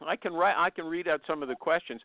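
A man hosting an informal live interview, blunt and quick to interrupt and steer his guest, heard over a narrow phone connection. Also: fairly high pitch, dry recording. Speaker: host interviewing a guest on a live online show. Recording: narrow, thin phone-line sound.

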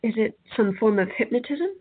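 A woman's voice: fairly high pitch, expressive, a little fast, husky. An actress playing an earnest, bewildered young woman in a radio play, speaking in an open, questioning way. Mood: worried, earnest. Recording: thin phone-like audio.

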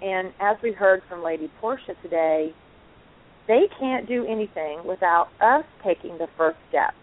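A woman's voice: medium pitch, animated, moderate pace. A woman co-hosting an online talk show, chatting in an effusive, enthusiastic way. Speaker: co-host. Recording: phone-like narrow line.

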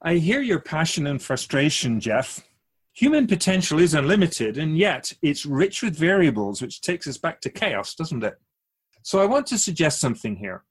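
A man playing an otherworldly keeper of souls in a radio drama, a wise and patient teacher who explains in measured, philosophical questions. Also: on the high side, sing-song, husky. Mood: earnest, probing.

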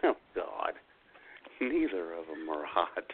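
A sardonic male co-host with a lowish voice and very lively pitch movement. He drops dry, casual interjections into the banter, and the sound is thin and phone-like. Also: takes it slowly, a little hiss or hum. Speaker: co-host of a comedy radio podcast. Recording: tinny phone-like band-limited sound.

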